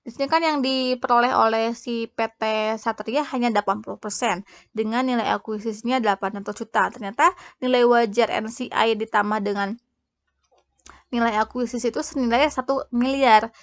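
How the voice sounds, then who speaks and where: A woman giving a semi-formal lecture, explaining step by step with halting self-corrections. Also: fairly high pitch, fairly even intonation, no room sound. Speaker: accounting lecturer.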